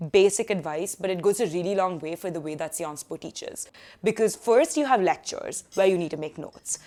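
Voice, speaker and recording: A young woman vlogging casually from a living room sofa, giving student tips in a chatty, animated way. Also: lowish voice. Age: young adult. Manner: chatty, animated hand gestures, quick asides. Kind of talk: casual advice vlog.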